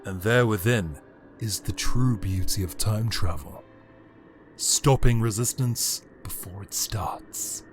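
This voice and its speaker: A cold, grandiose emperor of the universe, acted by a man, speaks in a fairly low voice over prominent music. His audio drama monologue is pompous and theatrical, with deliberate pauses and a condescending edge. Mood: imperious, self-righteous. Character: grandiose, cold tyrant Emperor, formerly a heroic Time Lord.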